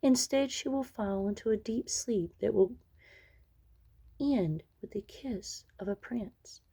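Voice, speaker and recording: A kindly young fairy from a storybook, played by a woman reader. Her voice is hushed and breathy, nearly a whisper. She speaks gently and with reassurance. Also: medium pitch, takes it slowly, voice pushed up. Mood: gentle, reassuring. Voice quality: soft, breathy, near whisper. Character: kind young female fairy softening a curse. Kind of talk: storybook character voice.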